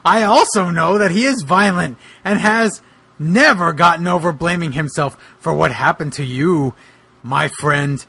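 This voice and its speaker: A man plays an earnest, protective boogeyman for a comic dramatic reading. The voice sits a little high, bright and rough with husky edges. His delivery is deliberate and melodramatic. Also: full character voice. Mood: grave, accusing. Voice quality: husky, gravelly. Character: the Boogeyman, earnest protective supernatural male avenger. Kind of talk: dramatic fan fiction reading.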